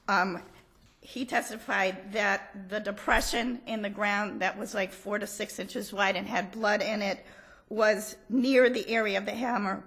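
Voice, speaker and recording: Hoarse woman prosecutor arguing in court. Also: mid-range voice, a bit bright. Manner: measured, factual, firm under questioning. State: hoarse from allergies. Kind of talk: appellate oral argument.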